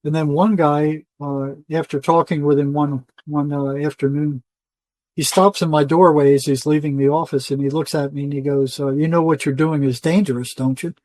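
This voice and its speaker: Older guy, kinda high voice, rambly casual storytelling, pretty dry sound with little echo. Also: little pitch movement, moderate pace, a little mellow. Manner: rambling, colloquial, acts out quoted dialogue. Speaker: interview guest, retired psychotherapist. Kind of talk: conversational storytelling, casual interview.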